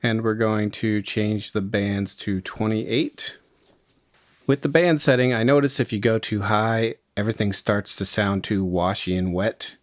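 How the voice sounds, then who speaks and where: A man with a fairly low voice walks through a software tutorial step by step. He sounds informal and explanatory, and the recording is close and dry. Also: a little slow, dry recording. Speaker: music production tutorial host.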